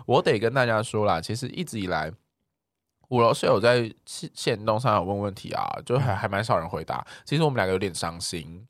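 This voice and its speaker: A man's voice: fairly low pitch, animated, a little fast, fairly bright tone, dry recording, clean studio sound. A man with a Taiwanese Mandarin accent in casual, joking conversation as a podcast co-host. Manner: joking, teasing banter with co-host. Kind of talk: casual chat.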